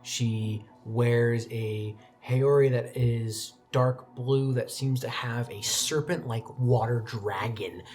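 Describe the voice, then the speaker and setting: A man narrating a tabletop roleplaying game as game master, setting scenes in a measured way and dropping soft for quiet details. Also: medium pitch, steady tone.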